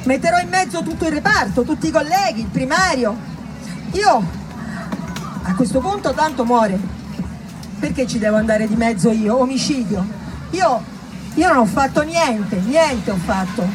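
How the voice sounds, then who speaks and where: A woman's voice: sits high, lively intonation, moderate pace, over music, crowd noise, low-quality recording. A woman performing a callous, evasive male doctor in a stage monologue, brusque, defensive and insistent. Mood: anxious, self-justifying.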